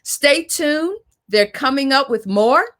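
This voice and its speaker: A woman's voice: high-pitched, expressive, slow pace, fairly bright tone. A woman hosting and moderating a panel discussion in an informal style. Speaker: host and moderator.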